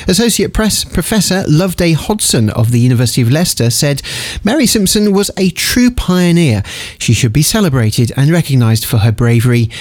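A man's voice: a little high, animated, brisk, few pauses, darkish tone, no room sound. A man working as a radio presenter and newsreader, recorded in a studio. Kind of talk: scripted news reading and studio chat.

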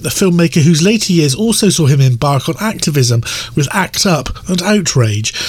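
A man's voice: high voice, husky, no echo. A man reading scripted news items as a radio newsreader.

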